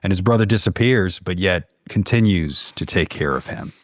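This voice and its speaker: Deep-voiced American man, casual storyteller. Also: lively intonation, moderate pace, no echo. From United States. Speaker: podcast guest, horror fiction writer.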